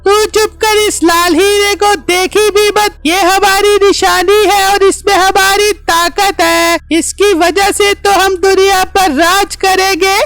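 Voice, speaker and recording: A woman acts out a fierce cartoon witch queen with an extremely high, creaky voice. She commands and scolds with slow, deliberate menace. Music plays clearly behind her. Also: little pitch movement, fairly bright tone, heavily acted. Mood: angry, boastful. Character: fierce, bossy witch queen hungry for human flesh. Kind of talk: Urdu cartoon fairy tale dubbing.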